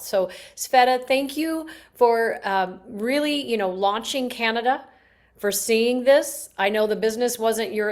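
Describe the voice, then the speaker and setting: Rambling woman introducing a guest. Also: a little high. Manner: warm, rambling, many fillers. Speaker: host.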